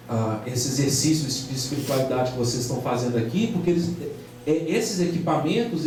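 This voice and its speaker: A man's voice: fairly high pitch, darkish tone, a little hiss or hum. A man from Argentina giving an informal sermon to a youth conference, chatty, often tagging his sentences with a questioning "right?". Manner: conversational, tags phrases with "né?". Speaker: preacher. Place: church hall. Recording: very echoey hall, far from the mic.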